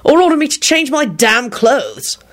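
A woman's voice: sits high, sing-song, normal speed, pitched above the performer's own voice. Woman as a haughty, petulant vampire lord. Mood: spiteful, haughty. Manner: imperious, formal, clipped indignation.

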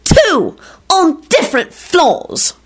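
A woman's voice: very high-pitched, huge pitch swings, slow, voice pushed up, exaggerated voice. A woman voicing a nervous, exasperated female character in an audiobook, flustered and wailing. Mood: shrieking. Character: nervous, exasperated woman, quick to wail and shriek.

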